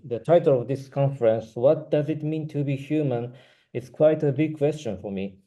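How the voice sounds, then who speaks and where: A man with a Japanese accent, whose first language is Japanese, giving a prepared academic talk as a researcher, reading carefully with brief pauses. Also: mid-range voice, dark tone.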